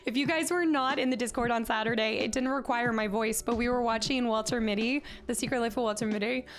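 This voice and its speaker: A chatty woman streaming from her apartment and greeting her chat, slightly hoarse as she recovers from laryngitis. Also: a little high, steady tone, fast talker. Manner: chatty and upbeat, rattles off viewer names. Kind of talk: casual live-stream chat. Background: faint background music.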